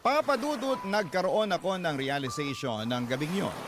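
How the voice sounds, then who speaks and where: A man's voice: fairly high pitch, lively intonation, moderate pace, close to an everyday voice. Actor as long-suffering father narrating over music. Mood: reflective, regretful. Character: weary, long-suffering father recounting his past. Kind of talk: scripted first-person radio drama narration. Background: background music.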